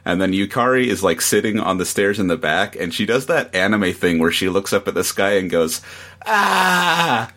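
A man on a podcast panel chatting casually about a review, halting, with exasperated amusement. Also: lowish voice, very animated, pushed and bright.

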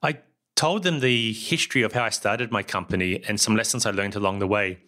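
Man, New Zealand accent, middle-aged, podcast host, bright clear tone, scripted storytelling. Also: lowish voice, fairly quick. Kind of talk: scripted solo podcast narration.

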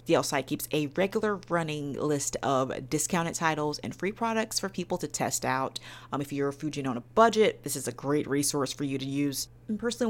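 A woman hosting a podcast review in an enthusiastic, emphatic and playful way. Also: low-pitched. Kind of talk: semi-scripted podcast review.

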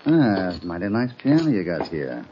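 A man's voice: low-pitched, very animated, normal speed, dark tone, slight background noise. An actor playing a dogged American cowboy lawman in an old radio western, with a folksy, casual way of asking questions. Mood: probing, mild. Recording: dull, muffled vintage radio recording.

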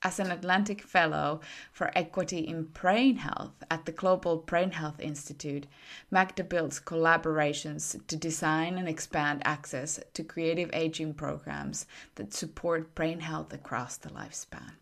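Low gravelly woman reading an introduction. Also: steady tone. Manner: measured, formal read-out biography. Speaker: podcast host.

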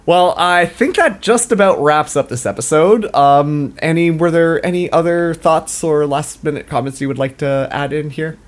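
A man's voice: fairly high pitch, moderate pace, hardly any pauses. A man in his late twenties co-hosting a casual podcast, laughing often and mocking himself. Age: late 20s. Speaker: podcast co-host.

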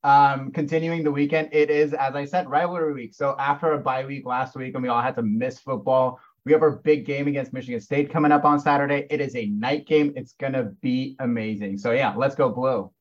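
An upbeat young man co-hosts a casual student podcast and talks through the week's events with enthusiasm. His voice sits at an average pitch for a man. The recording sounds a little dull and lo-fi. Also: steady tone, brisk, few pauses. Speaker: podcast co-host.

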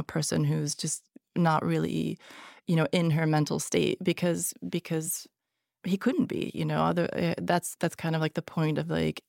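Low-voiced, gravelly Hungarian-born woman author. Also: a little mellow, no echo, studio quality. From born in Hungary, raised in Sweden. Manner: hesitant, many fillers and restarts. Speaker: author guest. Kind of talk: podcast interview.

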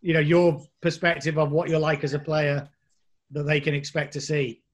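A man's voice: on the high side, level tone, moderate pace. Easygoing male club interviewer. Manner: friendly, easygoing questions.

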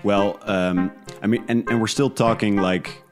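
Deep-voiced man in a casual interview. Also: steady tone, measured pace, over music. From Netherlands. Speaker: podcast creator, interview guest.

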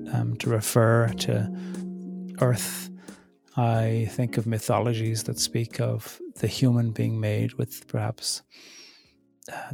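A middle-aged man speaking reflectively as an interview guest, thoughtful and searching, pausing and restarting as he works through his ideas. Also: mid-range voice, vocal fry, a little mellow. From Ireland. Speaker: theologian in residence, interview guest.

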